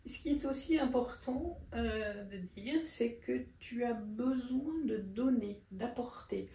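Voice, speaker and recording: A woman's voice: a little high, takes it slowly, mellow. A woman interviewing a guest, reflective and affirming. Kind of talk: conversational interview. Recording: echoey room.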